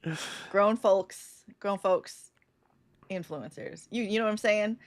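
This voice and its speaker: A woman's voice: medium pitch, slow pace, no echo. A woman co-hosting a podcast, thinking aloud casually with many fillers. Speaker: podcast co-host. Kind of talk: casual live podcast brainstorm.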